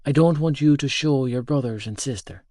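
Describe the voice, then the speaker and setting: A man voicing a frail, tender but firm old great-grandmother in an audiobook, speaking softly and affectionately. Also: medium pitch, no room sound, studio quality, lightly acted. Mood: confiding, gently firm. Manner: hushed, slow, affectionate. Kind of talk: audiobook character dialogue.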